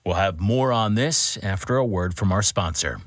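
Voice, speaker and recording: An actor playing an authoritative news anchor, reading a breaking bulletin in a formal, dramatic way for an audio drama. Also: male voice, deep voice, expressive, normal speed, heavy background noise. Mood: grave, dramatic.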